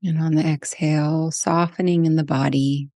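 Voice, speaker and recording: A woman leading a guided meditation, speaking in short, gentle phrases with long pauses. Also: low voice, fairly even intonation, dry recording, studio quality.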